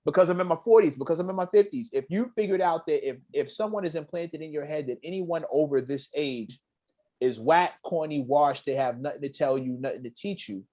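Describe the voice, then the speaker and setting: A middle-aged man hosting a casual talk show, speaking emphatically and getting animated as he recites lyrics. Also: fairly high pitch, brisk. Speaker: podcast host. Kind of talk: casual chat.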